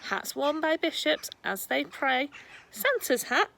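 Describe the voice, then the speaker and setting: A woman reading a rhyming children's storybook aloud in a deliberate storytelling manner. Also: sits high, big pitch swings, vocal fry, a bit bright, slight background noise. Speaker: storybook reader. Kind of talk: scripted children's rhyme read aloud.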